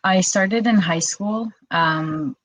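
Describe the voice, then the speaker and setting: A woman's voice: mid-range voice, slow pace, dry recording. A woman in her twenties from Quebec, a wrestler chatting casually as a guest and telling her story with lots of "like". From Quebec, Canada. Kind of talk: casual interview chat.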